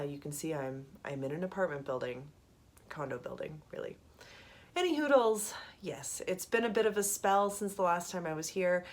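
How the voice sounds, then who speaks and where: A woman in her thirties with a head cold, chatting casually to camera from a small apartment studio. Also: sits low. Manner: chatty, rambling, with many ums and little laughs. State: congested from a cold. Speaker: knitting podcast host.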